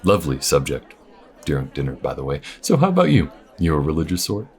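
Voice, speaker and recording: Man acting a very deep-voiced, folksy alien bounty hunter. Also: huge pitch swings, full character voice. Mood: relaxed, teasing. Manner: folksy drawl-like phrasing, teasing, unhurried. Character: easygoing male alien bounty hunter, wry, folksy frontier companion. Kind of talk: audio roleplay addressed to the listener. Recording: restaurant chatter behind. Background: restaurant crowd ambience.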